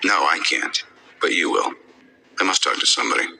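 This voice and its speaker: A man's voice: low voice, animated, brisk, creaky, bright, forceful tone, heavily acted. An actor playing a cocky, wisecracking billionaire inventor, a man with a sardonic, glib delivery, in a video game. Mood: smug, dry.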